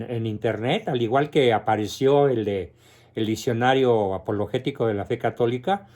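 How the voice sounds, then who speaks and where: A man giving a philosophy lecture, explaining deliberately and lifting his voice to stress key words. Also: mid-range voice, darkish tone. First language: Spanish. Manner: slow, deliberate explanation with rising emphasis.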